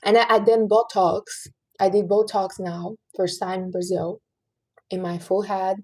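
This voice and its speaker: A woman's voice: medium pitch, little pitch movement, normal speed, a little mellow. A woman in her late thirties, chatting casually on a livestream and earnestly giving life advice to her viewers. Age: 30s. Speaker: livestream host.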